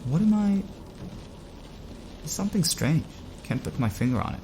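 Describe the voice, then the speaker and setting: An actor playing a curious, troubled driver in an audio drama, conversational, wondering and wary. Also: male voice, a little high, sing-song, moderate pace, vocal fry, background noise. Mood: puzzled. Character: uneasy, questioning man driver, curious and troubled.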